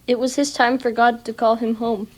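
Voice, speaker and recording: A woman's voice: fairly high pitch, little pitch movement, normal speed, close to an everyday voice. A woman playing a tender, reassuring mother of a young boy in a children's puppet show. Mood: tender concern.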